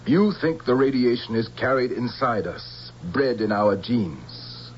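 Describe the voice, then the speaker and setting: A man's voice: medium pitch, expressive, close to an everyday voice. Man acting a grave general on muffled old radio. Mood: firm, urgent. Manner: measured, emphatic, insistent. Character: grave, resolute male general of a future army. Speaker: radio drama actor. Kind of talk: theatrical radio drama acting. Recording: dull, hissy old radio recording with hum.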